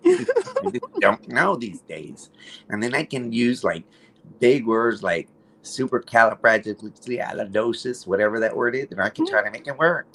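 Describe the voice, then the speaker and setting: A man with a low, groaning voice and a Spanish-influenced accent, chatting casually and swearing a lot. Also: animated, moderate pace, fairly bright tone, slight background noise. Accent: Spanish-influenced English. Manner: laid-back, swears a lot. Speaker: stream guest. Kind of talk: casual crude banter.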